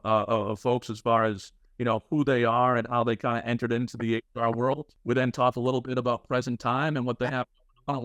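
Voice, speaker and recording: A man hosting a talk podcast, his clear, smooth voice stumbling over restarts and fillers as he frames the conversation. The sound is close and dry. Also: medium pitch, a bit bright, no room sound. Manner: halting, with many restarts and fillers. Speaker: podcast co-host. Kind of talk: conversational interview.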